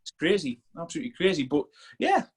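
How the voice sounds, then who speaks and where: Sunderland guy in his 40s, chatty, lots of restarts, slightly higher voice. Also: huge pitch swings, fast talker, breathy edge. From Sunderland, England. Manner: chatty and rambling, many restarts, laughs easily. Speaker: strength coach guest. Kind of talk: casual podcast interview.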